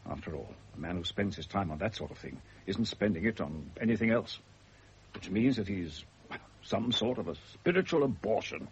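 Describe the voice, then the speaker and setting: Low voiced doctor, grave n preachy, measured, old radio drama with faint hiss. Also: male voice, lo-fi sound. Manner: measured, moralizing, deliberate. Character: grave, philosophical doctor counselling a condemned man.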